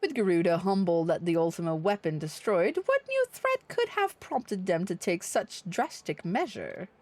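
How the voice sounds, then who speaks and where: A woman's voice: lowish voice, very animated, moderate pace, a little mellow, no echo, soft background music. A woman livestreaming a game, reading its dialogue aloud in character with theatrical expression and casual asides.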